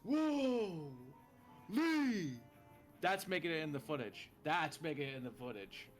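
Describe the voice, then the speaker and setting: A man in casual gaming chat, excitable, amazed and sweary, full of slang. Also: fairly high pitch, a little slow, faint music behind. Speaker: gamer reacting to gameplay.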